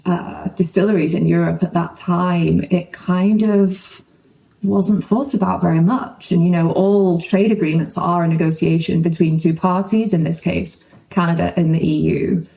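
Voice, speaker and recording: A woman's voice: lowish voice, steady tone, normal speed, vocal fry, mellow, low-quality recording. A woman speaking as a guest journalist in a conversational podcast interview.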